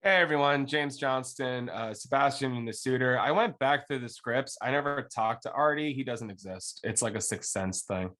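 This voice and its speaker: A man's voice: medium pitch, moderate pace, hardly any pauses, a bit bright. A man, a voice actor on a panel, joking casually with mock seriousness. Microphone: video call.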